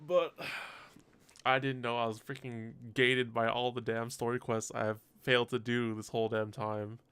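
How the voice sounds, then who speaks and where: A man's voice: mid-range voice, little pitch movement, normal speed, a bit bright, clear, resonant tone, no echo. A man live-streaming a game, reacting and chatting casually in slangy, sweary, exasperated gripes into a close mic.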